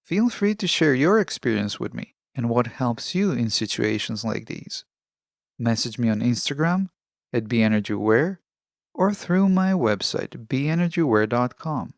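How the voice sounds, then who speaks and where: A man with a mid-pitched voice and a noticeable gravelly fry delivers a scripted self-help podcast monologue. He speaks as a host, measured and instructional, explaining things step by step. The sound is dry and close to the microphone. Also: very animated, dry recording.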